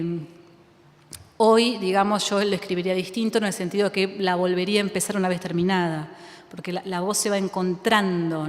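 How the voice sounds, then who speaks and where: A woman writer from Argentina with an Argentine accent, speaking reflectively in a public conversation, thinking aloud with many fillers and restarts and frequent laughter. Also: mid-range voice. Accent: Argentine Spanish. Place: school auditorium with audience. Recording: reverberant hall.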